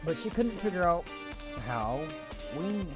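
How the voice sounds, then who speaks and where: Man reading a game aloud at a slow pace; stumbles over words; loud music behind. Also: high-pitched, sing-song. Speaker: gamer reading a visual novel aloud. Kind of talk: slow read-aloud with casual asides. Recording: game music playing under voice.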